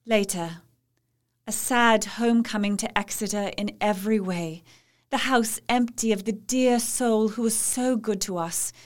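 A woman's voice: medium pitch, measured pace, natural voice. An actress playing a devoted, anxious young English wife, narrating her diary earnestly in an audio drama. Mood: worried resolve.

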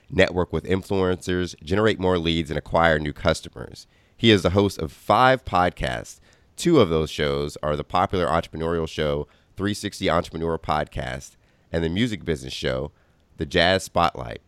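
Very deep, smooth-voiced male podcast host. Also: no room sound. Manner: enthusiastic, filler-heavy, keeps asking "right?". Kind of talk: podcast interview hosting.

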